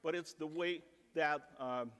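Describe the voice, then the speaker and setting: A man's voice: fairly high pitch, unhurried, a bit bright. A French-speaking man from Canada giving a casual technical conference talk, sprinkling in "like" and joking at his own expense. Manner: casual, self-deprecating, filler "like". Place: large conference ballroom. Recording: reverberant conference ballroom.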